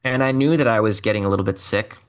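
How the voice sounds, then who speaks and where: A man's voice: on the low side, fast talker, clear, resonant tone. A man, a singer being interviewed, telling a story in a chatty, self-deprecating way with loose fillers. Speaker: singer and musician guest.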